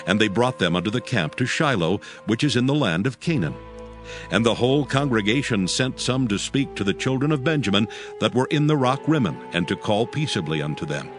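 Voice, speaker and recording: A man's voice: medium pitch, lively intonation, a little fast. Solemn male Bible narrator over music. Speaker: narrator of a dramatized audio Bible. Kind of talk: solemn scripted scripture narration, archaic English. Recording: music bed behind the voice. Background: background music.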